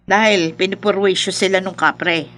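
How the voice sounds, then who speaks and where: A woman acts out a village mother in a radio drama in a medium-pitched voice. She is plain-spoken and inquisitive, with a direct, questioning way of talking. Faint music plays behind her. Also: steady tone, moderate pace, a bit bright, lightly acted. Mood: concerned. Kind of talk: Filipino radio drama acting.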